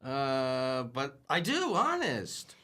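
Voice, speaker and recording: A man's voice: medium pitch, huge pitch swings, extremely slow, pushed and bright, heavily acted. A young man playing a bashful, timid store clerk in a radio play, stammering and repeating his words. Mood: nervous. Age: young adult. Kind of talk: 1940s radio acting.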